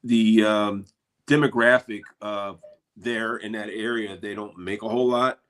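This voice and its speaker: A man's voice: fairly low pitch, steady tone, measured pace, fairly bright tone. An American man chatting casually in a rambling, laid-back way, telling stories as a paranormal investigator. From eastern United States. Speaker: paranormal investigator and show cast member. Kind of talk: casual chat.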